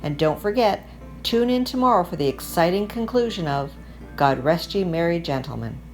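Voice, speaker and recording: A woman with a low voice narrates a scripted, lighthearted story. She reads aloud as a storyteller, with music playing behind her. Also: animated, moderate pace, low-quality recording.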